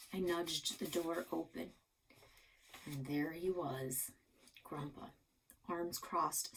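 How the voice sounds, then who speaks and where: A woman reading a children's book aloud in an expressive, dramatic storytelling style. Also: fairly low pitch, creaky, a little hiss or hum, poor audio. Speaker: library read-aloud reader.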